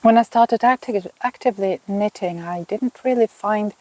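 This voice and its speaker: A woman in her fifties from Finland, a native Swedish speaker with a Finland-Swedish accent, hosting a knitting podcast in a casual, thoughtful way and pausing often to find her words. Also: mid-range voice, mellow, poor audio. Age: 50s. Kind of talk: casual chat to camera. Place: outdoors in a home garden.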